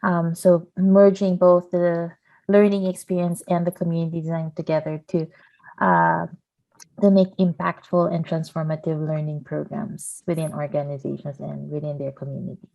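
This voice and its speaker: A woman from the Philippines introducing her work in an online workshop over a video call, soft-spoken and hesitant with many uhs. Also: sits low, normal speed, gravelly, dull audio. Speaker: community designer.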